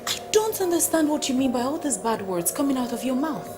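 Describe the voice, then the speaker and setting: A woman voicing a calm, puzzled wife who answers with mild reproach. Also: high voice, fairly quick. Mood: reproachful. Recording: music behind.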